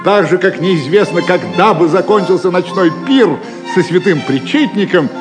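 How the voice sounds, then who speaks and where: An actor as narrator, telling a medieval adventure in scripted, dramatic storytelling style. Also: male voice, high-pitched, animated, normal speed. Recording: long reverb with loud music.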